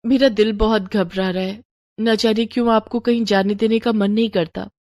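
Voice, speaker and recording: A woman voicing a gentle, respectful young daughter-in-law in an animated moral story. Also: medium pitch, moderate pace, dry recording, clean studio sound, lightly acted. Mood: anxious. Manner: respectful, eager to serve.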